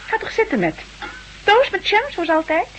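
Solicitous wife, animated intonation, coaxing, reassuring, radio play, faint hiss. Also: female voice, extremely high pitch, moderate pace, exaggerated voice, rough recording. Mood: solicitous, explanatory. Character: solicitous, brisk wife tending her confused husband. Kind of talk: Dutch radio play acting. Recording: old radio recording with light hiss.

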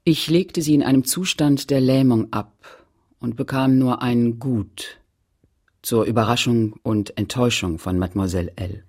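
A man playing the sober, confessional narrator of a childhood memoir in a literary radio play, speaking in a measured, grave way. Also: mid-range voice, darkish tone, close to an everyday voice. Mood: sober recollection.

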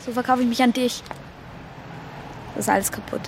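A woman's voice: a little high, steady tone, a little fast, noisy background, close to an everyday voice. An actress in a radio drama playing a wounded, fearful woman with a curt, bitter manner. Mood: hurt, rejecting. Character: wounded, fearful woman rejecting her ex-lover.

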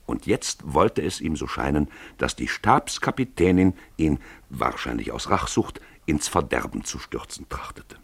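A man narrating a radio drama from a literary script in an expressive, theatrical storytelling manner. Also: extremely low pitch, measured pace, gravelly, hardly any pauses, slightly rough, no room sound.

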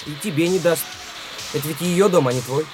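An actor in a Russian radio drama playing a cheeky, sulky teenage younger brother who needles and mocks. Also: male voice, fairly high pitch, fairly quick, over music, natural voice. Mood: mocking. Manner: mocking, needling, slangy.

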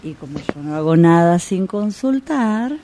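Actress as gullible fortune teller's client, Argentine accent, lively swooping intonation, resentful, confiding, radio drama. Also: female voice, lowish voice, a little hiss or hum. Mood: wounded, confiding. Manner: confiding, dramatic storytelling with pauses.

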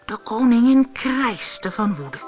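A woman reading a fairy tale as a storyteller, in expressive, dramatic and measured scripted narration. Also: on the high side, soft background music.

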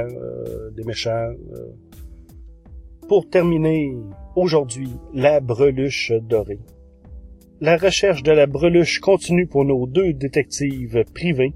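A man's voice: on the high side, warm tone. A man giving an informal, enthusiastic podcast review with hesitant phrasing. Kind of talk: informal spoken review over music.

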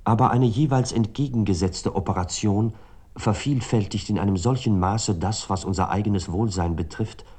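An actor playing a reflective, ironic literary narrator in a radio play, speaking in measured, articulate, long sentences. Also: male voice, fairly low pitch, mellow, slightly rough. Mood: measured, gently ironic.

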